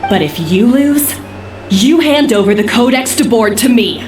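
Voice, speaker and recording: An actress playing a proud, hot-tempered female chef who talks in a sharp, taunting way in a video game. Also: fairly high pitch, animated, moderate pace, a little hiss or hum, lightly acted. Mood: defiant. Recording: echoey, music behind the voice.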